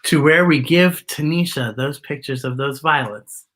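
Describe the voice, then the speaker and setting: A woman's voice: low-pitched, unhurried, fairly bright tone. A woman hosting a children's storytime, reading a picture book aloud with chatty asides and explaining things expressively as if to young children.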